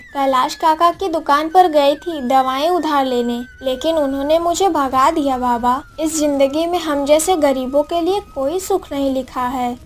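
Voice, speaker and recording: A woman voicing a poor, devoted young village daughter in a cartoon moral story, earnest and pleading. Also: high voice.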